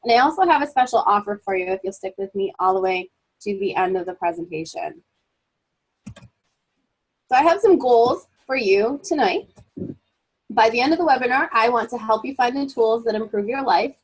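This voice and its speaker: A woman coaches her listeners through an online presentation, her voice pitched a little high and slightly husky. She sounds froggy from a cold she is getting over, yet stays bright and encouraging in an upbeat, motivational way. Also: lively intonation, moderate pace, low-quality recording. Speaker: webinar presenter and life coach. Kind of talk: webinar presentation.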